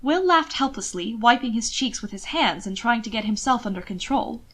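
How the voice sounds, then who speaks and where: A woman's voice: a little high, animated, normal speed, fairly bright tone. A woman reading scripted romantic fiction expressively, as an audiobook narrator.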